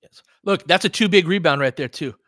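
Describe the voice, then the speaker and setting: A man's voice: on the high side, a little fast, fairly bright tone, no room sound, clean studio sound. A man doing casual live basketball commentary as a fan host, slangy and sweary, talking to the players.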